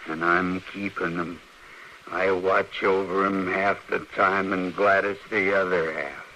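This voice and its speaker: Worn out stubborn frontier guy, low slow drag but forceful edge, old radio western. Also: level tone. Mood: weary, defensive. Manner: slow, weary, clipped. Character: weary, guarded, stubborn frontier man, adult husband. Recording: noisy vintage radio recording.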